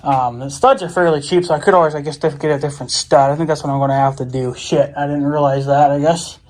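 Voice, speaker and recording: A man's voice: on the high side, steady tone, quick pace, poor audio. A man chatting casually off the cuff, rambling and thinking aloud as he vlogs about a hands-on job, with some mild swearing. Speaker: vlogger. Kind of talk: casual off-the-cuff DIY vlog chat.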